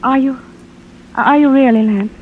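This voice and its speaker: Woman acting dignified wistful widow, halting, vintage radio drama, tinny noisy recording. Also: high voice, close to an everyday voice.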